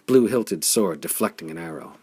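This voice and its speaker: Male audiobook narrator, low pitch, husky, dramatic and intense storytelling. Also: expressive, normal speed, a little mellow. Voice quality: gravelly, husky. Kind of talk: dramatic scripted narration of pulp adventure fiction.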